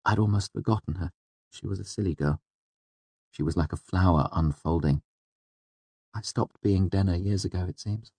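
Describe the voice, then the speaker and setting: A man voicing a teasing, gentle yet guarded young woman in audiobook dialogue, speaking softly. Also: lowish voice, normal speed, breathy edge, no room sound, voice pushed up. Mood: soft, wistful. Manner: soft, teasing.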